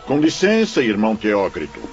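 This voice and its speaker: An actor playing a humble, good-humored priest who runs a strict spirit ward, courteous yet firm, in radio drama style. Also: male voice, fairly low pitch, wildly swooping pitch, moderate pace, dull audio, soft background music.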